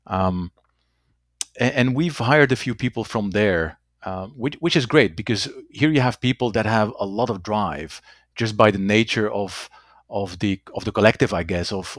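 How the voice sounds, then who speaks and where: Low-voiced man, halting speech. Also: dry recording, clean studio sound. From Belgium. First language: Dutch. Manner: halting, repeats words, tags sentences with "right?". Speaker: company executive guest. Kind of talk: conversational interview answers.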